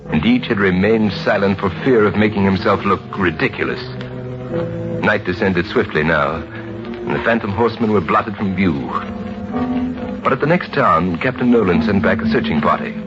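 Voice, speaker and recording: A man's voice: lowish voice, moderate pace. A man narrating a story in a dramatic old-time radio style. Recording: muffled old radio recording. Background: prominent music.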